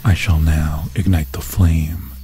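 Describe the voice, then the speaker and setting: A man voicing an obsessed, possessive demon lord in an ASMR horror roleplay, husky and breathy, often dropping into a whisper, with grandiose, seductive phrasing. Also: a bass voice, steady tone, takes it slowly, warm tone, faint music behind. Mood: commanding, ceremonial. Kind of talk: ASMR fantasy horror roleplay, theatrical monologue. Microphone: close, dry microphone.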